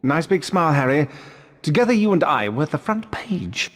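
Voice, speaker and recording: A man's voice: on the high side, very animated, normal speed. A man voicing a vain, smarmy celebrity wizard author in a video game, with long reverb. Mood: self-satisfied.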